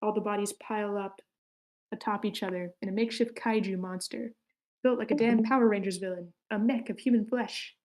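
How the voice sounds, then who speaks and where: A woman reads stage directions at a screenplay table read. Her mid-pitched voice stays fairly flat, moving matter-of-factly through short scripted sentences. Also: brisk, rough recording. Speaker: narrator reading stage directions.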